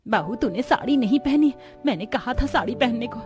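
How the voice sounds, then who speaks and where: A woman's voice: on the high side, expressive, normal speed, music in the background, close to an everyday voice. A woman voicing a traditional middle-aged mother-in-law in a cartoon, polite and placating as she gives instructions. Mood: instructing.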